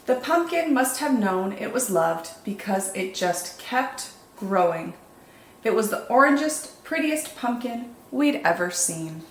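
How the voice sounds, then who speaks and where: A woman reading picture books aloud as a children's storytime host, expressive and deliberate, with drawn-out dramatic emphasis. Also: medium pitch. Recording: echoey room, faint background noise.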